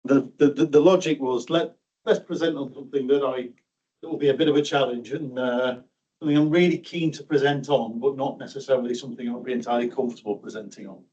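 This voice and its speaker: A man's voice: medium pitch, steady tone, fast, warm tone, recorded from a distance, highs cut off. Hesitant middle-aged man presenting. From South Yorkshire, England. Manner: hesitant, repeats words, self-deprecating. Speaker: railway project engineer, presenter. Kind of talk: conference presentation. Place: meeting room.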